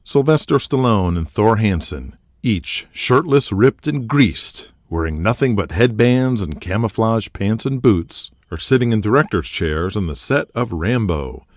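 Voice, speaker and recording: A man's voice: on the low side, lively intonation, measured pace, few pauses, no echo, studio quality. A man delivering scripted, dramatic narration as the narrator of an audio drama.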